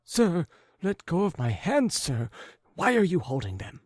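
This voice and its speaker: A man's voice: high-pitched, huge pitch swings, measured pace, darkish tone, no echo, studio quality, voice pushed up, full character voice. A man reading an audiobook, voicing a timid, cowardly man who frets and whines. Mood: fretful. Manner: whiny, fretful complaining. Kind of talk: audiobook character voice.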